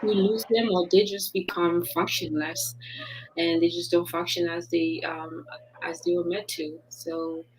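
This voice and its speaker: A woman's voice: on the low side, flat delivery, measured pace, darkish tone, slight background noise, poor audio. A woman from Haiti, a business founder, talking earnestly in a casual interview and laughing often.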